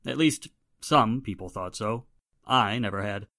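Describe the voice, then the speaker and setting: An actor playing a haughty, formal, suspicious gentleman in a 1940s style radio drama, with pointed theatrical phrasing. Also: fairly low pitch, measured pace. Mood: musing. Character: haughty, well-spoken gentleman, suspicious nephew of wealthy victim.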